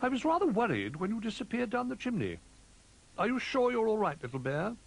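A man's voice: high voice, sing-song, fairly quick, vocal fry, a little hiss or hum, pitched above the performer's own voice, full character voice, rough recording. A man voicing a kindly, motherly female owl in a children's storybook, fussing with concern.

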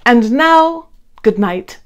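A woman, around her thirties, talking and reading to young children in an expressive, emphatic way. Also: fairly high pitch, very slow. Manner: animated, emphatic, addressing children directly. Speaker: children's read-along host. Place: indoor room, seated before a plain blue wall.

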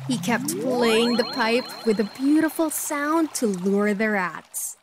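A woman's voice: on the high side, slow, a crowd in the background. A woman narrating a scripted bedtime story for children.

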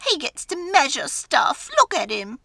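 A woman voicing an envious, childish girl fork in a storybook, whiny, loud and pleading. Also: high voice, very animated, normal speed, no echo, voice pushed up, full character voice.

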